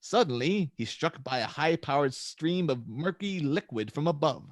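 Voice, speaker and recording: A man's voice: fairly high pitch, expressive, moderate pace, no echo, studio quality. A man reading stage directions as the narrator of a dramatic superhero script table read.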